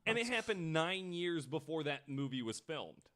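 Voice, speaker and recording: A man's voice: on the high side, very animated, normal speed, fairly bright tone. A man hosting a podcast, telling stories in a casual, informal and joking way. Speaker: podcast host. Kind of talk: casual conversational storytelling.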